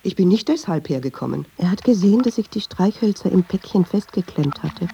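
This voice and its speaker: Watchful nervous woman, dark creaky voice, musing, half-whispered, slightly dull radio drama recording. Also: medium pitch, animated, brisk, a little hiss or hum. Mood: suspicious, uneasy. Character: watchful, nervous woman narrating her inner thoughts. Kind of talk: intimate radio drama monologue.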